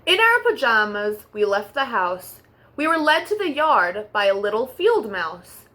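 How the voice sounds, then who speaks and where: A woman hosting a children's storytime, reading a rhyming picture book aloud in an expressive, sing-song way. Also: a little high, unhurried.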